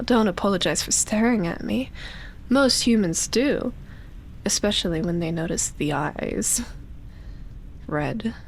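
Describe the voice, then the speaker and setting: Very slow, breathy seductive vampire woman roleplay. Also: fairly high pitch, a little hiss or hum, exaggerated voice. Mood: intimate, teasing. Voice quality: breathy, often dropping into a whisper. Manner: slow, drawn-out, teasing, with lingering pauses. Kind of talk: roleplay ASMR, slow intimate monologue.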